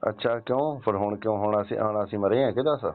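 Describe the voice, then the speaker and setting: Low-voiced nagging older father-in-law on a phone played by a man. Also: fast talker, lightly acted. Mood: reproachful, pressing. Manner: pressing, nagging, tags questions with grunts. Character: wheedling, insistent elderly father-in-law phoning his son's wife. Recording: tinny narrow-band phone call.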